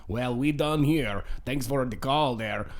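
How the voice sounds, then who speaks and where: A man's voice: mid-range voice, measured pace, lightly acted. A man playing a paranoid co-host on a radio show, speaking broken English. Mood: defensive. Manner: broken English, bluster, accusing. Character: paranoid Russian male co-host, blustering.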